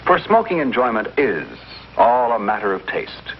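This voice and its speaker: A male announcer delivers a polished, emphatic 1950s commercial pitch. His intonation swings in a lively way at a medium pitch. The old broadcast recording is dull, with faint noise. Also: moderate pace. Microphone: voice-over for filmed commercial.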